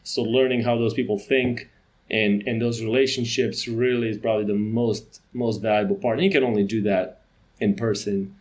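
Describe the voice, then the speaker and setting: A man from Romania, a company CEO in his thirties, talking as a guest in a casual podcast interview, quick and full of restarts. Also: fairly low pitch, steady tone.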